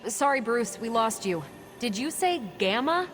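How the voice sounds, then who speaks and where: An actress playing a confident, wisecracking superhero and former pilot in a video game, with a breezy, joking manner. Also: female voice, a little high, sing-song, measured pace, a bit bright. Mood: frustrated. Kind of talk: video game cutscene dialogue. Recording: music under the dialogue. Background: music.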